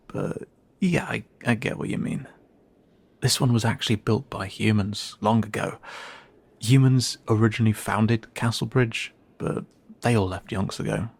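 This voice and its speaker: A man's voice: medium pitch, moderate pace, vocal fry, breathy edge. A man playing a wary young male cat-person guide in a fantasy audio drama, speaking casually and hesitantly straight to the listener. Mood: instructive. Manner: hesitant, casual, conversational asides.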